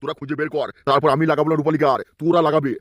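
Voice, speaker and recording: Gravelly, bossy village chief. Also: male voice, on the high side, flat delivery, racing, no echo, clean studio sound. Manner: bossy, scolding, swaggering. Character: lustful, boastful male village chief villain. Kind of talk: adult cartoon comedy acting.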